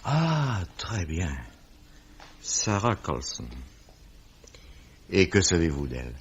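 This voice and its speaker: An actor playing a middle-aged police commissioner, formal, urbane and probing, in 1950s French radio drama style. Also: male voice, low-pitched, wildly swooping pitch, slow, a little hiss or hum, full character voice. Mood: inquisitive. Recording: dull, slightly rough old radio recording.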